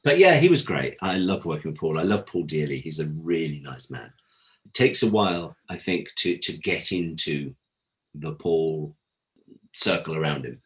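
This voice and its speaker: British guy, low voice, chatty interview guest spinning stories and doing the dialogue as he goes. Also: moderate pace. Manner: animated storyteller, acts out quoted dialogue. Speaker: interview guest, former audio drama producer. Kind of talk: casual anecdotal interview chat.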